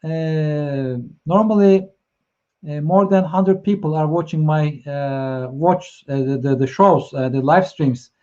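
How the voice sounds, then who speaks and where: A man's voice: a little high, takes it slowly, a little mellow, dull audio. A man from Turkey with a Turkish accent, hosting a live stream talk in English, hesitant with many fillers and restarts as he encourages his viewers. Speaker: host.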